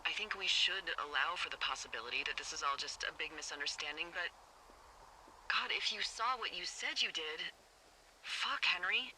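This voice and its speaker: An actress playing a wry, watchful fire lookout in a video game, talking conversationally and sardonically over a two-way radio. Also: female voice, fairly low pitch, animated, moderate pace, noisy background. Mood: uneasy. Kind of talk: naturalistic video game dialogue.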